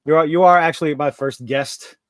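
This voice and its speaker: A man, hosting a casual livestream chat and telling stories with lively gestures in his voice. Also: on the high side, a little fast. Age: 50s. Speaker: podcast host.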